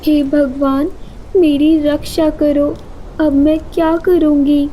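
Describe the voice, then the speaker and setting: A woman's voice: high-pitched, flat delivery, slow pace, warm tone, slight background noise, rough recording. A woman voicing a kind, anxious little female bird in a cartoon, with an earnest, pleading manner. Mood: worried, pleading.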